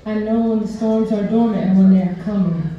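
A young woman performing spoken word poetry on a small indoor stage, reading slowly and steadily from a book at a stand mic. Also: mid-range voice, steady tone. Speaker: poet. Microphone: stand mic, filmed from the audience. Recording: echoey, distant, dull venue recording.

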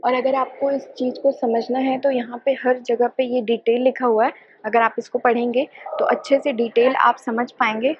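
A woman's voice: on the high side, level tone, normal speed, slightly rough. A young woman filming a travel vlog outdoors on her phone, chatting upbeat as she explains each stop like a tour guide. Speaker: travel vlogger. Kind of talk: casual travel guide narration. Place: outdoors on a ferry and a busy island path. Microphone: phone camera held at arm's length. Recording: dull lo-fi phone audio with a crowd behind.

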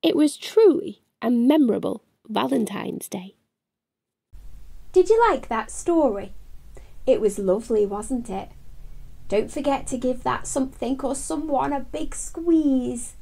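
A middle-aged woman hosting a children's storytime, reading aloud in an expressive, sing-song way. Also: sits high, unhurried, creaky, no room sound. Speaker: children's storytime host and reader. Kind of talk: animated read-aloud for young children. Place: small furnished room at home.

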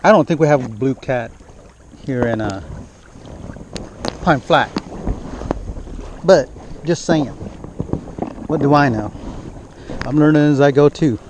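A man's voice: mid-range voice, takes it slowly, long pauses. A man chatting casually and informally while fishing on a lake shore, recorded on a body-worn action camera. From central California. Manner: informal, chatty, talks to the fish. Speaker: fishing vlogger. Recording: clearly noisy outdoor action-camera audio, wind.

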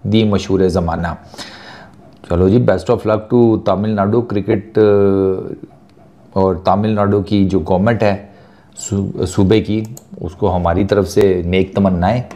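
Man, 30s; relaxed, joking sports host, mellow tone. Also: sits low. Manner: chatty and joking, mixing in English words, reels off lists. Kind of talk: casual talking-head sports commentary. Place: small furnished studio set indoors. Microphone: lapel mic.

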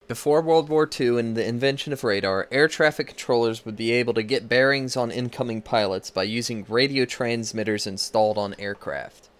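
A man's voice: medium pitch, a bit bright. A young man reading a scripted lecture as a student presenter. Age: young adult.

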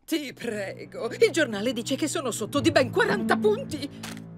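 A woman's voice: high voice, big pitch swings, moderate pace. A woman voicing a scheming, exasperated middle-aged villainess in a cartoon, theatrical, whiny and haughty. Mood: whiny despair. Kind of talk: animated cartoon dub acting. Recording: music behind the voice.

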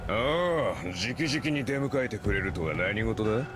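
A man playing an arrogant, menacing elite hunter in an anime game, haughty, mocking and rough-spoken. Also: on the low side, expressive, unhurried. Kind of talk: anime game cutscene voice acting. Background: music.

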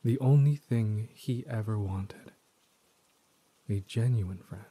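A man playing a menacing, tormented villain spirit in a stolen body, in a theatrical, deliberate audio drama monologue that dips toward whispers. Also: lowish voice, mellow, breathy edge. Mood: taunting storyteller.

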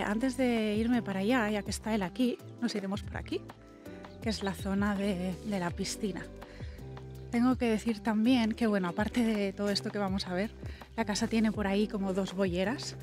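A woman in her thirties, talking casually and rambling with many asides while showing a house to her selfie camera outdoors. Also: mid-range voice. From Spain. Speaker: vlogger and presenter. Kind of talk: casual vlog house tour. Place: outdoors in a country garden. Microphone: small camera mic held at arm's length.

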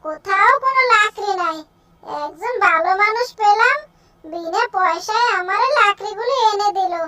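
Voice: extremely high pitch, normal speed, noisy background. A performer voicing a kindly, plaintive old village woman in a children's cartoon story. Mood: weary, affectionate. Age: older.

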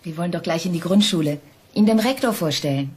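A woman's voice: fairly low pitch, animated, fast, a little mellow, slight background noise, natural voice. An actress playing a caring, practical adult woman in a children's family drama.

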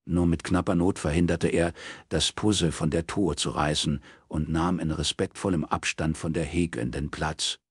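A man's voice: very deep voice, steady tone, moderate pace, breathy edge, no room sound, studio quality. A man narrating a crime novel as an audiobook, reading from a script.

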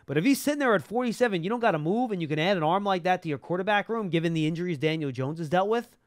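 Male talk-show host for sports, quick and emphatic, casual analysis, very dry studio sound on a close mic. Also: high-pitched, animated, no echo. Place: small podcast studio. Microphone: close broadcast mic on boom arm.

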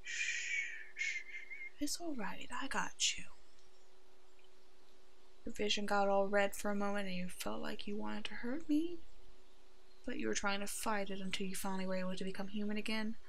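A woman voicing a brave, protective werewolf in an ASMR roleplay, soothing and halting, with a soft, hushed delivery. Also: mid-range voice, vocal fry, a little hiss or hum. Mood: tender, protective.

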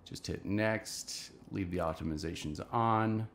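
A man in his thirties with a low-pitched voice. He narrates a screen-share tutorial casually, in clear instructional steps. He speaks into a desk microphone in a small home office. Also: measured pace, slight background noise. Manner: instructional, step-by-step. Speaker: marketing tutorial host.

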